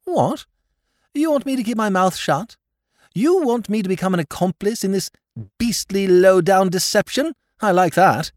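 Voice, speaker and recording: A man voicing a petulant young aristocrat who rants in shrill, old-fashioned upper-class slang. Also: animated, normal speed, dry recording, studio quality. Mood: indignant.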